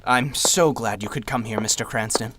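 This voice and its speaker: An anxious, downcast gentleman played by a man in old-time radio style. His medium-pitched voice sounds a little distant, with clear noise behind it. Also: normal speed, close to an everyday voice. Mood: relieved, nervous. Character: dejected, anxious honest businessman in hiding.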